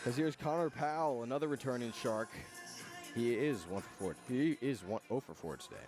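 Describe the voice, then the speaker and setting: Male sportscaster for live baseball radio, mid-range voice, clear music bed underneath. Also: mid-range voice, fairly quick. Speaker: radio play-by-play announcer. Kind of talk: live baseball play-by-play commentary. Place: outdoors at a baseball park.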